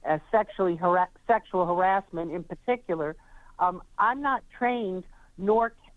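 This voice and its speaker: A woman attorney speaking as a radio guest, halting, with many fillers and restarts, over a phone line. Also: mid-range voice, dry recording. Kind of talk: radio interview.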